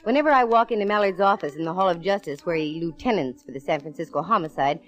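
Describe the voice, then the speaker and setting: An actress playing a confident, nosy private eye in a breezy, slangy 1940s radio style. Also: female voice, lowish voice, fast, a bit bright, natural voice. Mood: wry narrating. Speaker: lead actress in a radio detective serial. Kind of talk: 1940s radio acting, hard-boiled narration and dialogue. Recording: vintage radio show, music behind.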